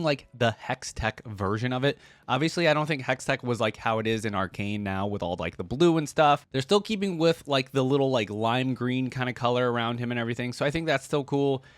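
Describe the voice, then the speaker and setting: A man hosting a reaction video, commenting casually in an expressive, animated way with frequent exclamations. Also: mid-range voice, dry recording.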